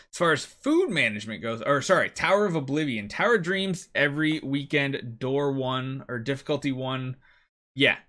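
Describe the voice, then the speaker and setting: A man's voice: medium pitch, bright, forceful tone. A man hosting a gaming video, giving casual, emphatic gameplay advice and repeating key words to drive points home.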